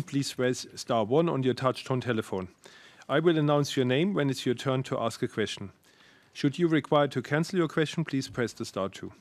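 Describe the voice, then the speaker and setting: A man's voice: mid-range voice, no echo. A man moderating an investor call, giving formal instructions.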